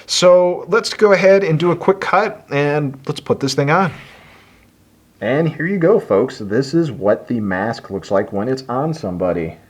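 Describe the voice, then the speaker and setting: A man's voice: a little high, animated, measured pace. A man speaking casually to camera as a review host, explaining things in an easygoing way. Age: adult. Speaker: video host reviewing a toy helmet.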